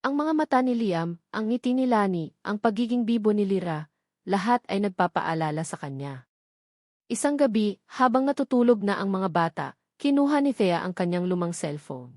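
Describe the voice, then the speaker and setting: A woman's voice: medium pitch, animated, normal speed, a little mellow, no room sound, clean studio sound. A woman reading a scripted story as its narrator.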